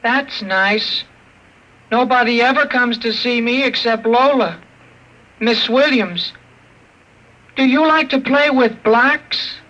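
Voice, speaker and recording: A man playing a childlike killer robot with the mind of a six-year-old, talking slowly and innocently in a 1950s radio drama. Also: very high voice, sharp tone, heavily acted. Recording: muffled old radio broadcast.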